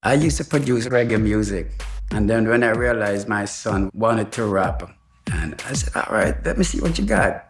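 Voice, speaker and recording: A man's voice: on the low side, normal speed. An older man, a father, speaking plainly in a documentary interview and quoting his own past words. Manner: plainspoken, quotes himself in dialogue. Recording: music bed under voice.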